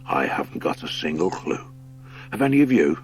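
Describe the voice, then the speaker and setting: Man acting a very deep old badger lord. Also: big pitch swings, faint music behind. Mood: grave, musing. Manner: deliberate, commanding, musing. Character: old gruff male badger lord, steady commander. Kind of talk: audiobook character acting.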